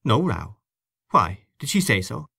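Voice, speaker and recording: A man voicing an awkward, defensive gentleman giving clipped, reluctant answers. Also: mid-range voice, very animated, measured pace, gravelly, husky, no echo, clean studio sound, heavily acted.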